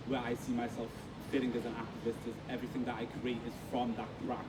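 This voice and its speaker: A man from Liverpool talks earnestly in a relaxed interview, often pausing on ums and restarting his sentences. He sounds set back from the mic, with traffic audible around the outdoor stage. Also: medium pitch. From Liverpool, England. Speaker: guest creative. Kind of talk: casual conversational interview. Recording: distant mic with street noise.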